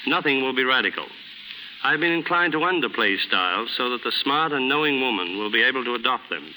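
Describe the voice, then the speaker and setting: A man's voice: medium pitch, expressive, fairly quick, pushed and bright. A man giving a formal, scripted guest talk on 1930s radio. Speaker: guest stylist. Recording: noisy 1930s radio recording.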